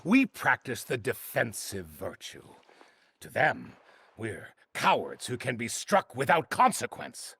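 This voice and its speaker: An actor playing a proud, sceptical martial-sect elder in a game, a man with a gravelly, husky voice and a blunt, weighty manner. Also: medium pitch, huge pitch swings, slow pace, sharp tone, no room sound, exaggerated voice. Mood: sceptical, scornful.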